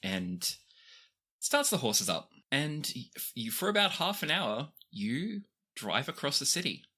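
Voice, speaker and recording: Bright, animated game master. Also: medium pitch, a little slow, breathy edge. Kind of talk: improvised tabletop game narration.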